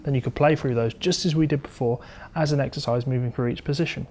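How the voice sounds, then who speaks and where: Male guitar instructor, average pitch, explanatory and conversational, with prominent music in the background. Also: quick pace. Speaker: guitar teacher. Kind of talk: informal instructional talk with demonstrations.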